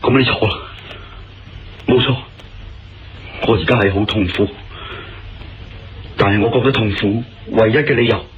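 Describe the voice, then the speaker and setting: A man's voice: lowish voice, fairly even intonation, normal speed, pauses often, a little hiss or hum, lightly acted. A man with a Hong Kong Cantonese accent playing a guilt-ridden, defiant prisoner in a melodramatic radio drama. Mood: tormented, resigned. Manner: anguished, melodramatic. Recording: lo-fi radio sound under loud music.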